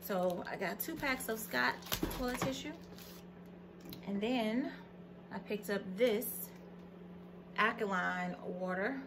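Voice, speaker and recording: There is clear background noise on this home recording, picked up by a camera mic in a plain room. An American woman with a medium-pitched voice talks in a casual, folksy, conversational way, like a vlogger presenting items to her viewers. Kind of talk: casual product haul chat to camera.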